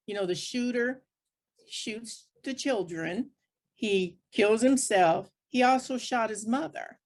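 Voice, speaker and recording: A woman speaking as a panelist, explaining things point by point in plain, conversational terms. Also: mid-range voice. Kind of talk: explanatory panel discussion talk.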